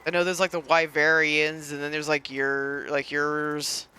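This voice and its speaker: A man's voice: a little high, monotone, unhurried, pushed and bright, a little hiss or hum. A man giving casual, rambling, self-deprecating commentary over his gaming playthrough. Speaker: gaming video creator.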